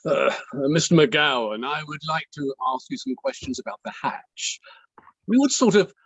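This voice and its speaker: A man playing a courteous, deliberate barrister who pauses as he cross-examines, in a table read of an inquiry play. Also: fairly high pitch, sing-song, a bit bright, slightly rough, no room sound, full character voice. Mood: politely probing. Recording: dull, dry, lo-fi microphone.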